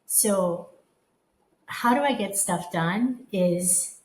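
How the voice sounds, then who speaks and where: A woman's voice: mid-range voice, drawn-out words, darkish tone. A woman speaking as a podcast guest, reflective and thoughtful, often murmuring agreement as she listens. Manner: thoughtful, frequent affirming murmurs. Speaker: guest, design firm co-managing director. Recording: echoey room with faint background noise.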